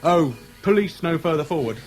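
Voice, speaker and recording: A man's voice: on the high side, expressive, normal speed, faint music behind, lightly acted. A British actor in a 1970s radio drama playing a chatty, outwardly affable man who nurses a grudge, speaking in casual slang. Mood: casually probing. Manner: colloquial, slangy, casually insinuating.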